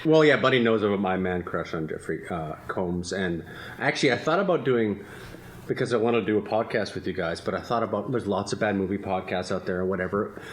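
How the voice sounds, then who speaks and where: Male podcast host, low voice, rambling casual talk, roomy echo with faint hum. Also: brisk. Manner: rambling, laughs often. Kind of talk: casual chat. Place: room with friends. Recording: echoey room, faint ambient noise.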